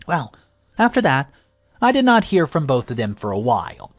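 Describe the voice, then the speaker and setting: A narrator delivers a scripted first-person story with very lively, rising and falling intonation. The voice sits in a middle register and is recorded very dry, with music playing behind it. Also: mid-range voice, moderate pace, no echo.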